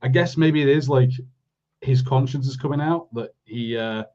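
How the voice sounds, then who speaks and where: Male podcast co-host, mid-pitched, conversational and rambling, recorded a little dull. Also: moderate pace, mellow. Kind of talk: casual review chat.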